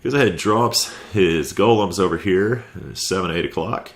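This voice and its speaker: A man's voice: deep voice, moderate pace. A man with a stuffy head cold giving laid-back, conversational play-by-play commentary over a video game recap. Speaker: clan war recap commentator.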